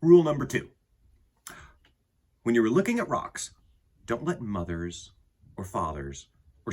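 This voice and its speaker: A man with a husky voice reading a picture book aloud to children in an expressive way, with dramatic pauses and emphasis. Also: sits low. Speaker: children's storytime host and reader.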